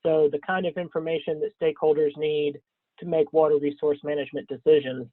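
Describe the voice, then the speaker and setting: Man with a cold, scratchy, halting webinar talk, higher voice, tinny phone-line audio. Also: flat delivery, dry recording. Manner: halting, frequent ums and throat clearing. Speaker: webinar presenter. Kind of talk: webinar slide presentation.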